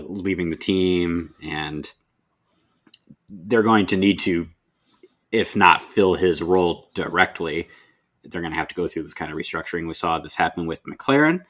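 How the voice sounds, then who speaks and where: A man with a very deep voice co-hosts a podcast. He gives his views in a measured, thoughtful way, often pausing on fillers. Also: a bit bright. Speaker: podcast co-host. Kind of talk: casual chat.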